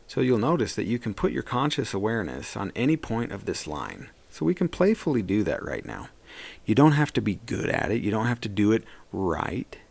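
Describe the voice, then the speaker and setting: Low male voice, heavy vocal fry, therapist, guided meditation, gentle, soothing, reassuring. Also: big pitch swings, a little fast.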